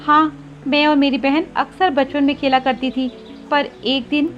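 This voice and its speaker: A woman's voice: high voice, level tone, normal speed, fairly bright tone, muffled recording. A woman voicing a meek, polite young female village sparrow in a children's cartoon story. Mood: polite, subdued. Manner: polite, hesitant, pleading.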